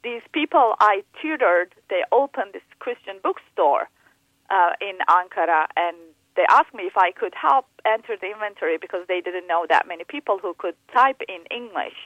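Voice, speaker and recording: A woman from Turkey, a guest in an interview, talking about faith with many pauses, fillers and restarts. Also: medium pitch, expressive, no room sound. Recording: tinny phone line.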